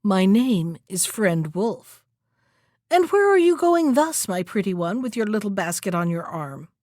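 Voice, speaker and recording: A woman's voice: medium pitch, very animated, a little slow, darkish tone, no echo, clean studio sound. Woman putting on a sly, coaxing storybook wolf. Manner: coaxing, falsely sweet. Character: sly villainous male wolf feigning friendliness.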